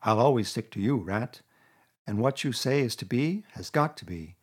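A man voicing a gentle, loyal little male mole in a storybook reading, soft and wistful. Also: mid-range voice, animated, moderate pace, slightly rough.

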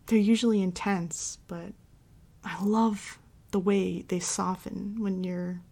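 Casting: actress as devoted, comforting girlfriend for ASMR roleplay; soft mellow breathy voice with fry, often whispered, unhurried and loving. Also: medium pitch, level tone. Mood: adoring. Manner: soft, soothing, slow and affectionate. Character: tender, devoted girlfriend and mommy dom comforting her partner.

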